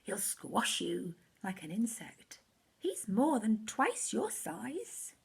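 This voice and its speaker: A woman's voice: medium pitch, big pitch swings, takes it slowly, creaky, slightly rough, heavily acted. A woman voicing a shepherd boy's frightened older brother in a children's story, alarmed and scolding. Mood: alarmed, warning.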